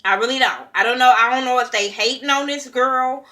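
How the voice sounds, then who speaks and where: A woman's voice: high-pitched, fairly quick, bright, forceful tone. A young woman from California ranting bluntly and profanely while reviewing a reality show in a casual vlog. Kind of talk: casual opinion commentary.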